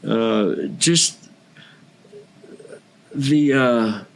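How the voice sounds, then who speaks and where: A man's voice: mid-range voice, very slow, creaky, low-quality recording. An American man from Kentucky talking casually as a podcast guest, earnest and effusive, repeating phrases for emphasis. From Kentucky, United States. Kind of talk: casual conversational interview.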